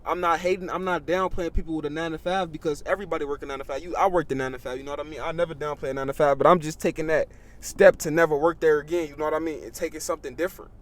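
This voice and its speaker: Brief: young man, 18, high-pitched, animated, casual podcast guest. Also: very fast. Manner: animated, repeats "you know what I mean" and "bro". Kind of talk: casual chat.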